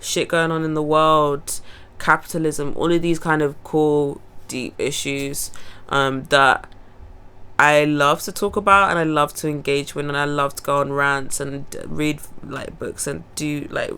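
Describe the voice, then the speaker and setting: A woman's voice: deep voice, steady tone, unhurried, bright, forceful tone, clean tone. A young woman, a singer-songwriter with a cold, chatting casually and rambling about her new song. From London. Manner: rambling, chatty, laughs at herself. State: has a cold, sniffling. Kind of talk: casual chat to camera.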